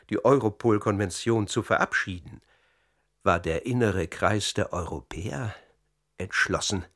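An actor playing a grave insider in a radio drama, explaining in a measured way. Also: male voice, fairly low pitch, a bit bright. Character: grave male insider recounting a secret European conspiracy.

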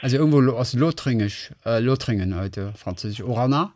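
A man's voice: mid-range voice, animated, brisk, a little mellow, a little hiss or hum. A middle-aged man co-hosting a radio show, chatting casually and rambling as he searches for words. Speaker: radio host. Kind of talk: casual on-air chat.

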